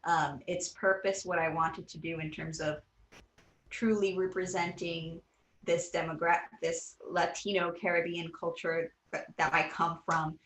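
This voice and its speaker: A woman's voice: lowish voice, flat delivery, unhurried, fairly bright tone. A woman in her thirties from Puerto Rico, an animation creator answering interview questions earnestly, with many fillers and restarts. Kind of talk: casual interview. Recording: roomy echo.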